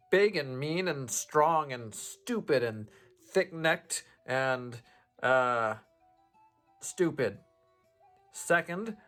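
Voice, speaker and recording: A man playing a male goblin engineer in his own voice, slowly giving halting advice while recovering from a sore throat. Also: fairly high pitch, a bit bright, heavily acted. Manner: slow, halting advice-giving with pauses and fillers. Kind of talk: improvised tabletop roleplay monologue.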